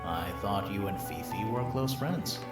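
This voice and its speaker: A man's voice: fairly low pitch, a little slow, close to an everyday voice. A man voicing a polite, inquisitive detective in a video game, asking probing questions. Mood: inquiring. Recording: game audio with music underneath.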